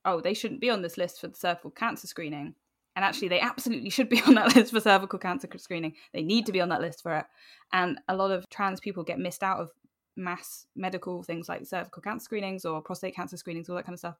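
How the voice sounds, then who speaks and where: A woman's voice: fairly low pitch, fairly even intonation, quick pace. A woman in her twenties with a British accent, talking casually as a podcast guest, rambling and acting out imagined remarks as they go. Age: 20s. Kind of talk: casual interview chat.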